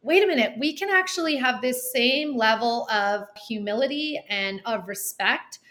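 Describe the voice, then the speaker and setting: A woman's voice: a little high, expressive, moderate pace, a bit bright. A woman in her forties, a podcast guest speaking animatedly, laughing often and acting out little quotes. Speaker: guest, nonprofit founder. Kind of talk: podcast interview.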